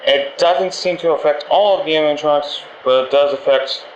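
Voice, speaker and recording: A man's voice: fairly high pitch, a little fast. A man playing a friendly, nervous pizzeria employee in a game, leaving a hesitant, awkwardly upbeat training message over the phone. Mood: uneasy, reassuring. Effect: telephone voicemail. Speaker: company trainer for night guards. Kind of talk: rambling recorded phone message, game voice acting. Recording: muffled, roomy phone audio with faint noise.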